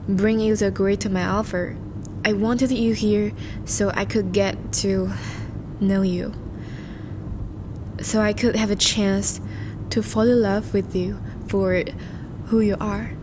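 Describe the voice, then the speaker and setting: A woman playing a charming, once-noble vampire in a romantic audio roleplay, speaking formally and intimately to the listener, with a soft, hesitant manner. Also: mid-range voice, background noise, close to an everyday voice. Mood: tender, vulnerable. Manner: formal, courteous, hesitant, soft-spoken confessions.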